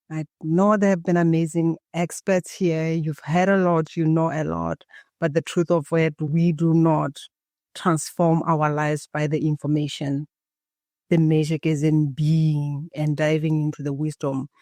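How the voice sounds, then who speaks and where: A woman from Botswana, a Setswana native speaker, guesting on a podcast and telling her story in a calm, guiding way, as if leading a meditation. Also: sits low, dry recording, clean studio sound. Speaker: guest, embodiment coach. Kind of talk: podcast interview, reflective storytelling.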